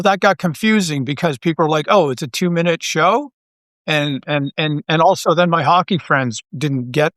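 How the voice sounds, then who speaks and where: A Canadian man with a fairly high voice talks casually as a podcast guest. He restarts and doubles back on his words while telling stories, and the sound is close and dry. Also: no room sound. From Toronto, Canada. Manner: animated storyteller, halting self-interruptions and repeated words. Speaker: podcast guest, audio drama writer. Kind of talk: casual interview chat.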